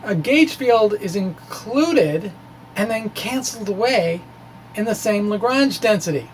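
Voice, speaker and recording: A man giving an informal explanatory lecture in a halting, conversational way, with asides. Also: high voice, lively intonation, a little hiss or hum, low-quality recording. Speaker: physics blogger presenting derivations.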